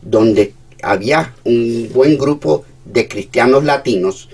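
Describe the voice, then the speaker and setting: A man with a Cuban accent, preaching a sermon as a pastor, moving between hushed and emphatic passages. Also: mid-range voice, slow pace, slightly rough, far from the mic.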